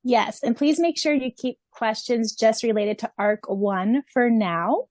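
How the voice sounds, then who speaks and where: A woman's voice: fairly high pitch, a little slow, darkish tone, no echo. A woman chatting casually on a podcast in an informal, storytelling way as a co-host. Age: 30s or older.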